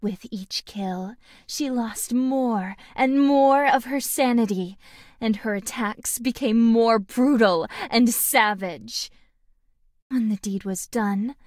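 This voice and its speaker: A woman voicing a cheerful, friendly schoolgirl in a video game, speaking in a sweet, gentle and deliberate way. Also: on the high side, no room sound, studio quality. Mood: calm storytelling. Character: cheerful, friendly anime schoolgirl narrating a play. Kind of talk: game tutorial voice acting, storytelling.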